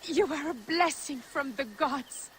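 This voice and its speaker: A woman's voice: sits high, measured pace, background noise. A woman playing a bereaved, grateful villager in a dramatic video game. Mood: grieving, grateful.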